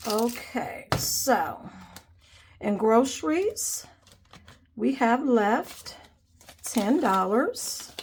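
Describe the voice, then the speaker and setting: A woman's voice: on the high side, expressive, very slow, pauses often, background noise. Nasal young woman with a cold. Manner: friendly, calls viewers "guys", counts money aloud. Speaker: budgeting channel host. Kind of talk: casual chatty vlog narration while counting cash.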